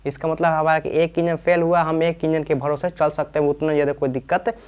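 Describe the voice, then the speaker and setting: A man's voice: a little high, level tone, brisk, a little mellow, clear, resonant tone. A man, teaching informally, repeating himself and asking questions that he answers himself. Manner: repetitive, asks and answers own questions, frequent check-in tags. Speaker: teacher explaining aviation terms. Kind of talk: informal explainer lesson.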